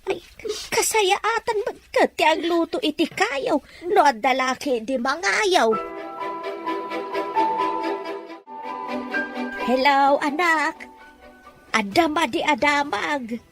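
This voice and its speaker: High-voiced worried mother, played by a woman. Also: animated, slow, a bit bright, soft background music, heavily acted. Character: worried, consoling mother of grown children. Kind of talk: domestic melodrama acting.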